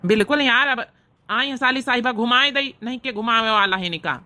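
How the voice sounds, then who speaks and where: A woman acts out a married cartoon charmer, the indulgent brother-in-law, with an Awadhi accent. The voice is forceful and bright, pitched a little high, easygoing and flirtatious. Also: brisk. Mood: agreeable. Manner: sweet-talking, indulgent. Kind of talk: cartoon comedy acting.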